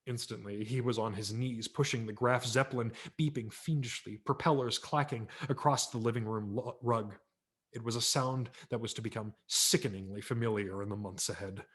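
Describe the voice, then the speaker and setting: A man's voice: mid-range voice, steady tone, moderate pace, a bit bright. Theatrical man narrating a humorous story. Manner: theatrical, emphatic, wry. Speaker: audiobook narrator. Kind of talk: dramatic scripted story narration.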